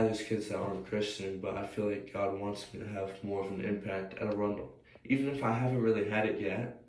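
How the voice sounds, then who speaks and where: A man's voice: fairly low pitch, a little mellow. A young American man with a slightly scratchy voice, rambling hesitantly as he thinks aloud in a casual, unscripted personal vlog. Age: young adult. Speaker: vlogger. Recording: roomy, distant mic, faint noise.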